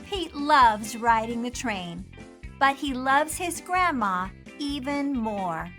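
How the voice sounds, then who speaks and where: A woman reading a children's storybook aloud and asking young listeners questions, in an expressive storytelling manner. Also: on the high side, soft background music. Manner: slow, expressive delivery aimed at young children. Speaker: children's storybook reader and host. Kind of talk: scripted read-aloud storytelling with quiz questions for kids.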